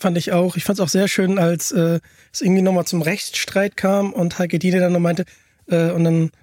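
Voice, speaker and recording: A man's voice: sits high, flat delivery, normal speed, darkish tone, dry recording, clean studio sound. A man hosting a podcast, chatting casually and with enthusiasm. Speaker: podcast host.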